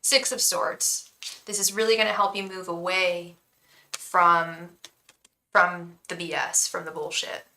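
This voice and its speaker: A young woman gives a live tarot reading in a chatty, reassuring tone. Her voice is bright and clear, with a calming quality. She often restarts her sentences, and the room around her has a noticeable echo. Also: fairly low pitch, unhurried, a little hiss or hum. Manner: addresses viewers fondly as "love". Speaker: tarot reader and psychic.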